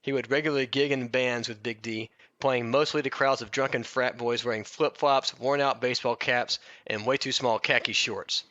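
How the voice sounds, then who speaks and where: A man's voice: medium pitch, steady tone, normal speed, hardly any pauses, bright, forceful tone, dry recording, lo-fi sound. A man hosting a podcast, reading a comic biography aloud with casual, jokey asides and a readiness to laugh. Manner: jokey, often breaking into laughter mid-sentence. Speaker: podcast host.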